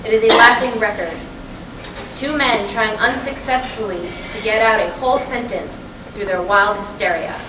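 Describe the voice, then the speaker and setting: A woman's voice: a little high, moderate pace. A woman reading stage directions as scripted narration at a staged play reading. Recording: distant mic in echoey, noisy room.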